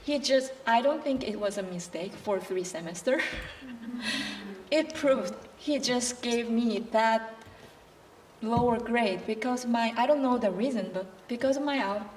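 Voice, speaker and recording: A woman who is not a native English speaker, answering on a panel in careful, halting English with many restarts. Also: a little high, distant mic, slight background noise, rough recording.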